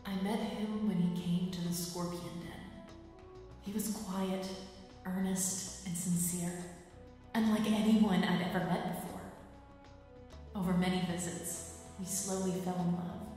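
A woman's voice: fairly low pitch, little pitch movement, a little slow, mellow, natural voice. A woman playing an earnest, hesitant mother dragon sorrowfully recalling a lost love in an audio drama. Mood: wistful. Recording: long echoing reverb over music.

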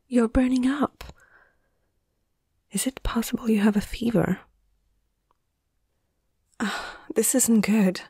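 A woman playing a caring young female veterinarian in a soft, hushed ASMR roleplay, speaking gently and a little hesitantly. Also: mid-range voice, slightly rough, no room sound, clean studio sound. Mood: worried.

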